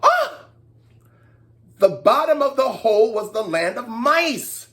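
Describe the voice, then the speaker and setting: A woman telling a story to young children, expressive, with playful asides and exclamations. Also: a little high, slow pace.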